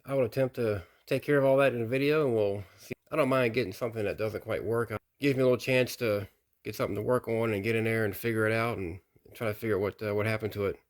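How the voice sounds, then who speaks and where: A man casually narrating a hobbyist review in an unhurried, folksy, explanatory way. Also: on the low side, fairly even intonation. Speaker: radio hobbyist presenting a video.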